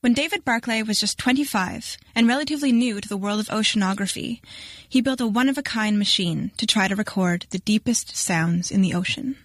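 A woman reporter giving clear, explanatory scripted narration for a radio feature. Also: medium pitch, fairly quick, a bit bright, dry recording.

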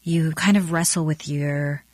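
A woman's voice: low-pitched, steady tone, unhurried, a little mellow, a little hiss or hum. A woman with an American accent, hosting and interviewing on a broadcast news programme. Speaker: radio news host and interviewer.

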